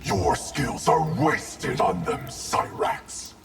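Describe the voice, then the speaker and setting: Dark otherworldly fighter, haughty and menacing, creaky voice, unhurried, very dramatic swings, for a fighting game. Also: male voice, on the low side, sing-song, loud music behind the voice, full character voice. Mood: cold, scornful.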